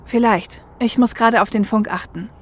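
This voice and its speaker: A woman playing a seasoned, protective female runner in an audio drama, with measured, matter-of-fact delivery. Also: on the high side, fairly even intonation, a little hiss or hum, natural voice. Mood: businesslike. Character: seasoned female shadowrunner getaway driver, protective older sister.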